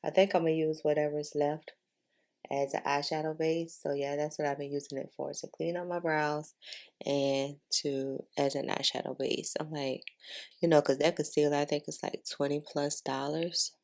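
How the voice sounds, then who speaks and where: A woman's voice: low-pitched, level tone, moderate pace, a little mellow. A woman talking in a casual, chatty way as a beauty vlogger giving a makeup tutorial, informal and repeating herself for emphasis.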